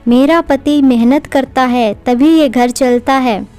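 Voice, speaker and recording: A woman plays a resentful young daughter-in-law from a cartoon. The voice is very high and soft-toned, scornful, dragging out each complaint slowly. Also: clean tone, faint music behind.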